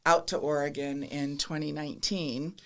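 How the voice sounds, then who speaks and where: A woman's voice: sits low, little pitch movement, moderate pace, noisy background. A middle-aged woman with an American accent, answering interview questions in a candid, reflective way with light self-deprecating humor. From Midwestern United States (Minnesota, Iowa). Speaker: podcast guest, government commissioner. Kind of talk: conversational interview.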